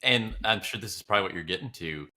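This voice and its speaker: A man's voice: lowish voice, fast talker, pushed and bright. A man co-hosting a podcast in a casual, reflective style, musing loosely as he thinks aloud. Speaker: podcast co-host.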